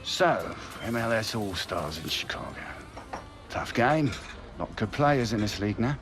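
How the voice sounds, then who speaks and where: With music underneath, a middle-aged British father in a sports video game. His low voice moves up and down a lot as he talks, offhand and blokey, like a worldly football scout. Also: expressive, a little slow. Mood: chatty. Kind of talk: video game cutscene acting.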